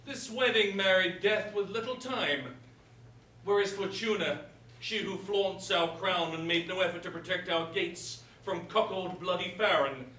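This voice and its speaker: A man's voice: sits high, unhurried, bright, forceful tone. A man playing a stern, blustering king and father in a staged verse comedy, declaiming. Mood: indignant. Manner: declamatory, indignant. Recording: echoey hall, far mic, faint noise.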